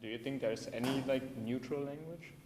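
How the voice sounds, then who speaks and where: A man's voice: medium pitch, moderate pace, darkish tone. A man with a German accent and German as his mother tongue, asking a presenter questions from the audience, hesitating and searching for words. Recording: long hall reverb, faint background noise.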